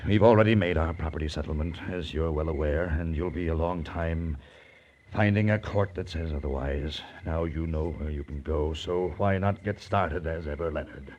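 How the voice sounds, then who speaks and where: An indignant, respectable suspect in an old radio drama. He is low-voiced and formal, blustering and faltering as he talks. The vintage recording is slightly noisy. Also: normal speed, darkish tone, highs cut off. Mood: reluctant, stiff. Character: indignant, evasive, stuffy respectable male citizen under suspicion. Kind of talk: 1940s radio drama acting.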